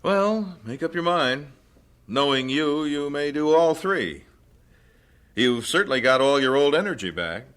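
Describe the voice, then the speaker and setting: Dry, animated missionary husband. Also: male voice, on the high side, unhurried, slight background noise. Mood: fondly dry. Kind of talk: old-fashioned radio drama acting.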